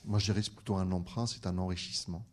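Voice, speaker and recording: Male teacher, panel guest, lower pitch, hesitant with many restarts. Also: fairly even intonation, rapid-fire, darkish tone. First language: bilingual French-Arabic. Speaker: French teacher. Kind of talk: panel discussion.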